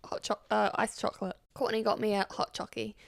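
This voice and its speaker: A New woman in her thirties chats as a podcast co-host, her slightly hoarse voice gravelly with fry. Her delivery is giggly and loose, with plenty of "like"s. The sound is close and dry, with no room behind her. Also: medium pitch, level tone, fairly quick, no echo. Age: 30s. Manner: chatty, giggly, many "like"s. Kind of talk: casual chat.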